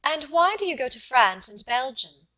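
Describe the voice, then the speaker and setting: A woman's voice: high-pitched, very animated, moderate pace, pushed and bright, voice pushed up, full character voice, rough recording. A woman reading an audiobook, voicing a blunt, talkative young Irish lady with an eager, abrupt, questioning manner. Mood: challenging. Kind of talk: audiobook character dialogue.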